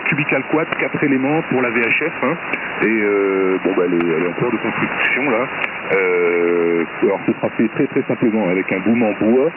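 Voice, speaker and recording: A man's voice: lowish voice, expressive, moderate pace. A man from France chatting casually over amateur radio, hesitant and enthusiastic. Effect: radio transmission. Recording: very noisy, hissy narrowband ham radio signal. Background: loud radio hiss.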